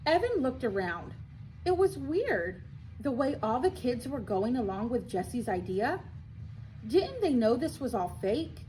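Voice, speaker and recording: School read-aloud narrator, woman, unhurried, slightly high voice, faint background noise. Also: big pitch swings, a little mellow, rough recording. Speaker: school media specialist reading aloud. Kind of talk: expressive children's story read-aloud.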